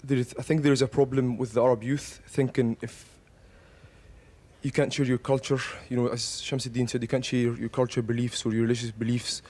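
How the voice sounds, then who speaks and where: Earnest young man, mid pitch. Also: darkish tone. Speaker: audience member. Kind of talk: explaining in an audience discussion.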